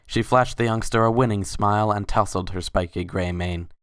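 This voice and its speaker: A woman's voice: very deep voice, fairly even intonation, moderate pace, smooth, no echo, clean studio sound. A woman reading scripted story narration as the narrator of a dramatic reading.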